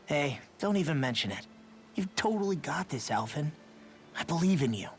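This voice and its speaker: A man's voice: a little high, big pitch swings, normal speed, slight background noise. An actor voicing a brash, loyal young male game fighter, blunt and slangy as he pushes a friend. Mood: reassuring, easygoing. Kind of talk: video game voice acting.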